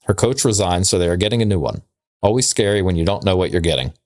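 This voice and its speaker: A man's voice: deep voice, animated, fast talker, darkish tone. A man co-hosting a casual podcast, bantering and reading letters aloud in a conversational way. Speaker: podcast co-host.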